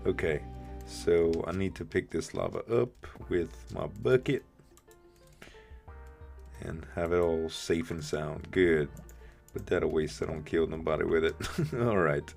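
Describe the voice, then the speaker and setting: A man with a deep voice, a bit rough from the morning, casually thinking aloud while building in a game he hosts. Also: unhurried, long pauses, a little mellow, faint music behind. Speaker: let's play host. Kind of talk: casual gameplay commentary.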